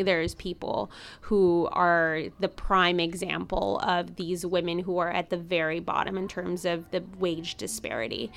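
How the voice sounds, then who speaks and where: A woman's voice: lowish voice, vocal fry, a bit bright, smooth. A young adult woman, a native Spanish speaker, talking reflectively as a podcast guest with many restarts and fillers. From United States. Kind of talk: casual conversation.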